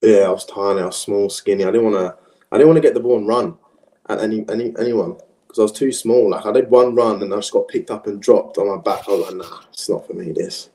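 A young man from Australia, whose first language was French, chatting casually as an interview guest in a relaxed, rambling way with many fillers. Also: fairly low pitch, quick pace. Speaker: interview guest, professional footballer. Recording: echoey room.

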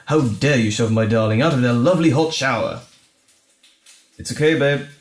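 A man playing a gentle, caring boyfriend in an intimate audio roleplay, speaking soothingly and tenderly with light teasing and a halting delivery. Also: medium pitch, echoey room, lightly acted. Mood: playful, reassuring. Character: gentle, caring boyfriend comforting frightened partner.

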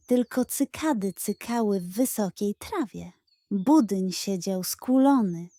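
A woman narrating a scripted bedtime story for children in an expressive storytelling style. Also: a little high, no echo, studio quality.

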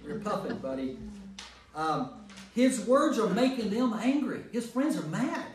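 A man with a fairly high voice leads a Bible study as a priest, speaking to a group. He is emphatic and often repeats a phrase to drive it home. The room is very echoey, and the microphone sits some way off with faint noise. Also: big pitch swings, measured pace, recorded from a distance.

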